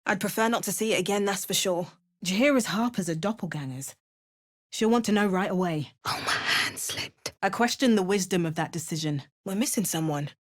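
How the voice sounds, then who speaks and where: An actress playing an adventurous, wry woman hero in a fantasy video game, with a measured, understated delivery. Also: mid-range voice, no room sound, studio quality, close to an everyday voice. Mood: stealthy whisper. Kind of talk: scripted fantasy game dialogue.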